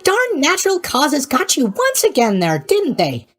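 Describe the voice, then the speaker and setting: A man's voice: very high voice, huge pitch swings, measured pace, fairly bright tone, heavily acted. A man voicing a boyish, sleepy god of sleep in a video game, with a bubbly, scatterbrained, casually tactless manner. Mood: mock sympathy. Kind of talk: animated video game voice acting.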